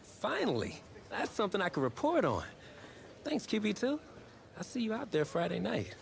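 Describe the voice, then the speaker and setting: A man playing an eager, excitable young sports reporter conducting an interview in a video game cutscene. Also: high-pitched, normal speed, creaky, heavily acted. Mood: pleased. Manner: eager, animated.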